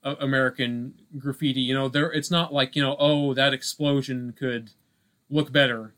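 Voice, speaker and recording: A man with a mid-pitched voice in casual conversation as a podcast co-host. He circles around his point, restarting phrases and hesitating as he thinks out loud. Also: fairly even intonation, normal speed. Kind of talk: casual film discussion.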